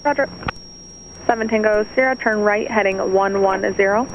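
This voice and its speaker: A woman's voice: medium pitch, fairly even intonation, normal speed. A woman working as an air traffic controller, giving clipped instructions over aviation radio. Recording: noisy aviation radio transmission.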